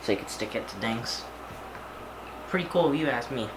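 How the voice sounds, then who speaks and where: A man's voice: mid-range voice, expressive, normal speed, heavy background noise. A man giving casual, hands-on unboxing commentary, informally talking through each small action as he does it. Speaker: hobbyist video creator presenting an unboxing.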